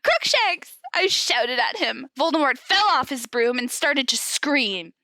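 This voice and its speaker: A woman podcast host with an expressive, giggly way of talking, switching between comedic dramatic reading and casual chat. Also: high voice, measured pace, no room sound.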